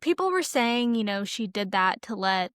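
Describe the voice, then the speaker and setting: A woman's voice: mid-range voice, sing-song, normal speed, fairly bright tone, clear, resonant tone, no room sound. Woman chatting casually, very dry sound. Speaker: podcast co-host. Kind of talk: casual chat.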